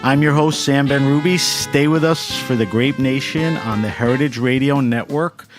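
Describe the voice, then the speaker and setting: A man hosting a wine interview show, brisk and friendly as he prompts his guest. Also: mid-range voice, music in the background. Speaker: wine podcast host. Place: radio studio.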